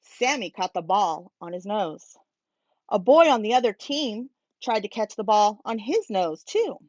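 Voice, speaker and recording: A woman reading a children's storybook aloud as a teacher, in a simple, expressive manner for young listeners. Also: mid-range voice, a little slow, dry recording, dull audio.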